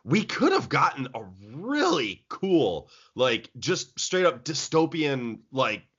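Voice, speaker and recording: A man's voice: a little high, sing-song, slow, fairly bright tone, husky, highs cut off. A man co-hosting a casual podcast chat about movies, rambling with many restarts. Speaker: podcast co-host.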